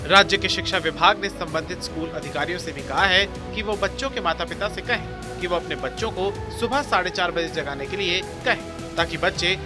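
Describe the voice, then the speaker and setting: A man's voice: sits high, normal speed, few pauses. A man reading a scripted news report as the narrator. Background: music bed.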